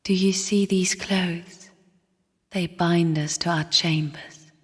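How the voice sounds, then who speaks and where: A woman voicing a somber, bitter sister spirit in a video game, speaking in a slow, solemn, cryptic half-whisper with a breathy tone. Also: on the low side, steady tone. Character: somber, bitter sister spirit of the void, guarding her chambers. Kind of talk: video game character voice lines. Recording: long cavernous reverb.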